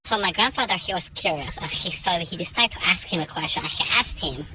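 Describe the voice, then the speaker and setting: A woman's voice: on the low side, lively intonation, brisk, background noise. A woman narrating an improvised comic fairy tale in a chatty, playful way. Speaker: storyteller.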